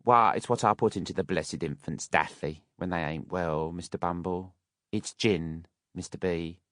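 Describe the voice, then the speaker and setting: A man's voice: low voice, moderate pace, fairly bright tone, dry recording, studio quality. A man voicing a fawning, two-faced workhouse matron with sugary, wheedling, uneducated speech in an audiobook. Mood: ingratiating.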